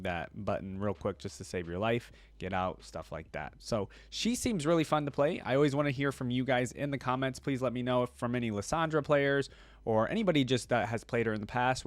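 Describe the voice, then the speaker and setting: A man giving casual reaction commentary as a video host, informal and rambling as he thinks out loud. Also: mid-range voice, brisk, no echo.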